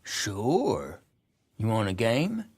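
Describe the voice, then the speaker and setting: An actor playing a pushy, folksy American card player in a western game. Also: male voice, lowish voice, wildly swooping pitch, drawn-out words, slightly rough, full character voice. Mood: coaxing. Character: pushy, folksy saloon gambler. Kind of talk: western video game acting.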